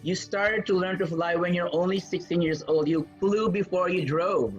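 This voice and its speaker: A man's voice: high voice, normal speed, faint music behind, rough recording. A man interviewing a guest on his podcast, eager and full of "wow"s. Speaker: interviewer, host.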